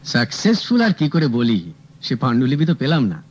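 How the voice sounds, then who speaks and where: An actor playing a sharp, confident private detective who calmly and cuttingly accuses a suspect. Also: male voice, medium pitch, normal speed. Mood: accusing. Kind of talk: 1970s radio drama acting. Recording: dull old radio recording, faint music.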